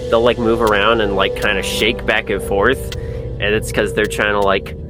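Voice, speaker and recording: A man's voice: lowish voice, little pitch movement, moderate pace. A man co-hosting a podcast, trading informal, joking banter with plenty of swearing. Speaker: podcast co-host. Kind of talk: casual conversational podcast banter. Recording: music playing behind the voice. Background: music.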